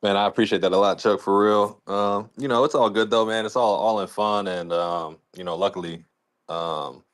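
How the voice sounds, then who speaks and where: A man's voice: lowish voice, steady tone, moderate pace. A man talking casually as a league commissioner on a podcast, in a colloquial, rambling way peppered with "you know".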